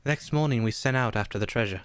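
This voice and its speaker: A man's voice: mid-range voice, quick pace, smooth, no echo, natural voice. A man playing an earnest young adventurer who narrates his tale in a radio play. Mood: measured, grave. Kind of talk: scripted storytelling in a radio play.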